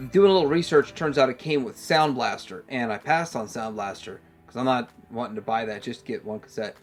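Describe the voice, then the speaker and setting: A man hosting a casual hands-on toy review, rambling and thinking aloud as he goes. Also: medium pitch. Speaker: toy review channel host.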